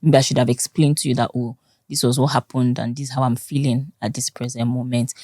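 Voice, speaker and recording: A young Nigerian woman with a Nigerian accent and a strikingly low, dark voice. She talks loudly and expressively as an interview guest. The sound is dry and close. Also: brisk, dry recording. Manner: loud, expressive, laughs often. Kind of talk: conversational interview.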